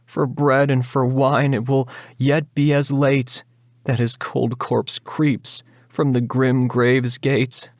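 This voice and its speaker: A man's voice: medium pitch, dry recording, studio quality. A man voicing a wildly despairing, defiant young woman, anguished and declamatory, in a dramatic reading of a gothic ballad.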